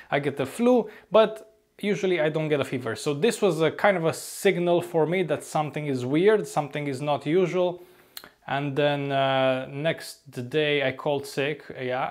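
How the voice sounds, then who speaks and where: Upbeat young man, slightly hoarse. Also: a little high. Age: young adult. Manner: chatty, upbeat, often says "ladies and gents". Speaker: vlogger, flight crew member. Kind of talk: casual vlog to camera. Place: apartment room, indoors. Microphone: lapel mic.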